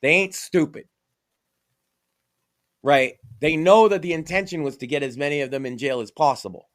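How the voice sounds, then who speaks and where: A man's voice: a little high, normal speed. A man from Massachusetts co-hosting a podcast, talking casually, with a profane, emphatic and opinionated manner. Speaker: podcast co-host.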